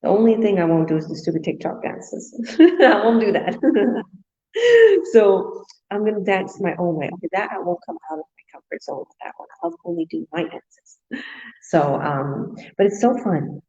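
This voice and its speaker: A woman's voice: medium pitch, lively intonation, normal speed, warm tone. A Canadian woman hosting a casual live stream, chatting enthusiastically and laughing often. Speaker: host. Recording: dull lo-fi stream audio.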